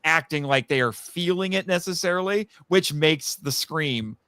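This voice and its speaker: Guy podcast guest telling stories all animated, clear forceful voice, kinda high, does the quotes. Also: moderate pace, no echo. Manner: animated storyteller, acts out quotes. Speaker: guest, audio drama writer. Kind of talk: casual chat.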